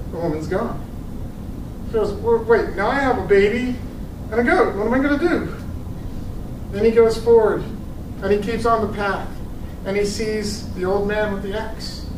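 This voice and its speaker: A man giving a casual sermon, telling a story and reading scripture, with halting restarts and teasing asides to his listeners. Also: high-pitched. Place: church room with a live audience. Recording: echoey room from a distant mic with noise.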